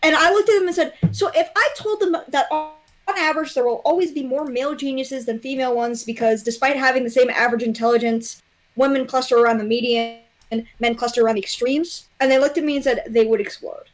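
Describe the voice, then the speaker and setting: High-pitched blunt young woman chatting. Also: fairly even intonation, fast talker, soft background music. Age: young adult. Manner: blunt, profane. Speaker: guest on a development livestream. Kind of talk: casual chat.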